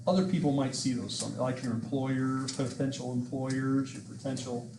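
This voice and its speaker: A man's voice: mid-range voice, fairly even intonation, moderate pace. A middle-aged man lecturing a history class, chatty and self-mocking, rambling between jokes, recorded on a laptop mic. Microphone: laptop mic at a lectern. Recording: echoey classroom with background hiss.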